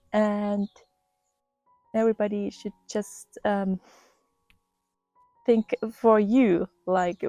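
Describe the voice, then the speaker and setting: A woman, casually vlogging outdoors and often pausing to search for words. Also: mid-range voice, gravelly, no room sound. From Finland. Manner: relaxed, thoughtful, pauses to search for words. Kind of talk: casual walk-and-talk vlog. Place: outdoors in the countryside.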